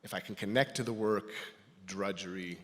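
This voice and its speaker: A man giving a personal, reflective talk to a congregation as a lay speaker, in a thoughtful, halting manner. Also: low voice, steady tone, a bit bright, slight background noise. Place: large indoor church space. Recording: reverberant hall sound.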